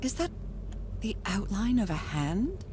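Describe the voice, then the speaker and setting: A woman's voice: medium pitch, sing-song, a little slow, slight background noise. An actress playing a determined, inquisitive 1930s woman explorer in a video game, wondering aloud to herself. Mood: curious. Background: loud game music.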